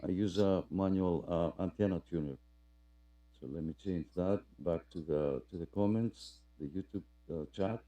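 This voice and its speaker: A man hosting a radio club live stream in casual, halting, unscripted chat, often repeating his phrases. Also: low-pitched, warm tone, highs cut off.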